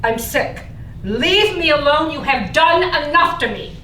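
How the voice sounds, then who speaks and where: A woman's voice: sits high, animated, measured pace, bright, forceful tone, exaggerated voice. A woman playing a bitter, scornful sick mother in a staged play reading. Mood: weary, bitter. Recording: echoey room, distant mic, faint noise.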